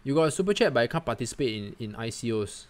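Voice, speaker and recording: A man from Singapore, hosting a casual livestream and answering viewer comments in a chatty, animated way. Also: mid-range voice, fast. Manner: chatty, animated, peppers talk with "man", "guys" and "right?". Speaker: livestream host.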